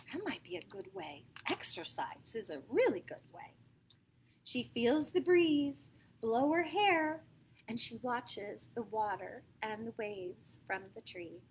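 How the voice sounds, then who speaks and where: American lady doing kids storytime, high voice, slow and really expressive. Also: slight background noise. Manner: expressive, dramatic emphasis, asks listeners questions. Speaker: storyteller reading picture books to children. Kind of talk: animated read-aloud storytelling for young children, with asides.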